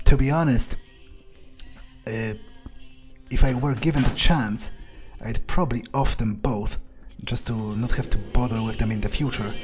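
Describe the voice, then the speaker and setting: Male gamer, medium pitch, roleplaying in character: blunt, gruff, halting musing, faint music behind. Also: a little mellow. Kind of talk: in-character video game playthrough, thinking aloud.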